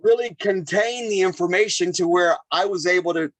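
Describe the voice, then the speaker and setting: A man, a chef, telling stories in a casual, animated interview with lots of repeated phrases. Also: high voice, moderate pace, no echo. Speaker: chef and podcast guest.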